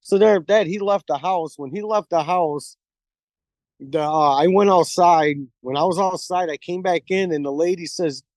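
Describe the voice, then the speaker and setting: A man's voice: high-pitched, moderate pace, darkish tone, dry recording, clean studio sound. A man, a paranormal investigator, telling rambling stories in casual chat, full of swearing and acted-out quotes. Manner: profane, rambling, acts out quotes.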